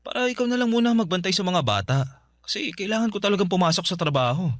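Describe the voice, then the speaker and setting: Insistent Filipino boyfriend in radio drama: rapid, coaxing delivery, close dry sound. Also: male voice, high voice, big pitch swings, fairly bright tone, no echo. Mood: defensive, coaxing. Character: pleading, self-serving live-in boyfriend and father. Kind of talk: Tagalog radio drama acting.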